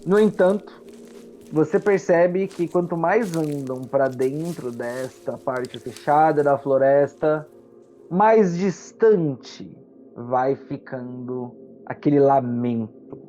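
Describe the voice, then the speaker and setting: A Brazilian game master narrating a tabletop roleplaying game with dramatic, unhurried pauses. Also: medium pitch, mellow. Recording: muffled lo-fi audio with music. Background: music.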